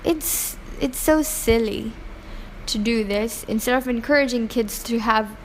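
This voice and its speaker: A woman from Pakistan, raised in Hong Kong, shares her views in an interview. Her voice sits a little high, and she speaks earnestly with frequent fillers and self-corrections. A faint background hiss runs under the recording. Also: measured pace, poor audio. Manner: earnest, opinionated, many fillers and restarts. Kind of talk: casual interview answers.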